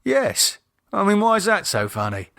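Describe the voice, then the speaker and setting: A man's voice: high-pitched, wildly swooping pitch, normal speed, vocal fry, sharp tone, no room sound, studio quality, pitched above the performer's own voice, heavily acted. A man playing an uneasy, reluctant man with a dryly sarcastic, hesitant manner in audiobook dialogue. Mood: sardonic, anxious. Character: uneasy, sardonic adult man, wary and reluctant.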